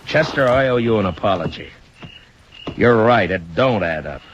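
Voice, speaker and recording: A man's voice: fairly low pitch, lively intonation, normal speed, close to an everyday voice. An actor playing a tough, commanding Old West lawman in old-time radio drama, with clipped, authoritative delivery. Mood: thoughtful. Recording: muffled, noisy vintage radio recording.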